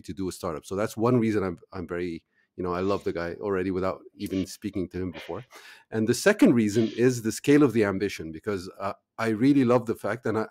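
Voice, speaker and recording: A male podcast host with a fairly low voice, recorded dry with little room sound. He talks conversationally, feeling his way through his phrases with halting hesitation. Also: a little mellow, no room sound. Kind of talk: conversational interview.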